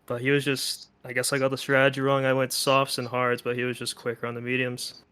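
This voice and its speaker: A man's voice: mid-range voice, completely flat delivery, fairly quick, pushed and bright, clean tone. A man talking as a third-place driver in a post-race interview, hesitant with many fillers.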